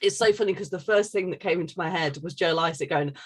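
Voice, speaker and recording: A woman's voice: on the low side, fast, breathy edge. A woman hosting a casual interview, reading out questions and playfully teasing her guest. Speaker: podcast interviewer.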